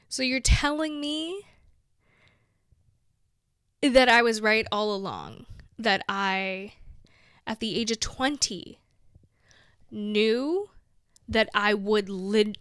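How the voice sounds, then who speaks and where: A woman's voice: a little high, no echo, studio quality. A woman in her twenties chatting casually as a vlogger, giggling often and filling her talk with "like.". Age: 20s. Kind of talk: casual chat to camera.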